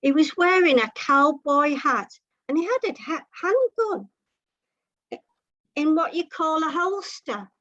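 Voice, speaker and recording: A woman's voice: high voice, lively intonation, slow, fairly bright tone, dry recording. A woman reading a comic short story over a video call. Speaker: story reader. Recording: muffled, dull online meeting audio.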